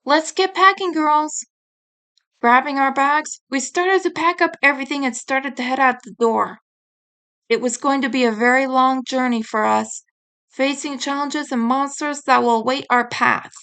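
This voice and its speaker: A woman's voice: high voice, fairly even intonation, moderate pace, a bit bright, clear, resonant tone. A woman narrating her own fantasy story in a scripted storybook style.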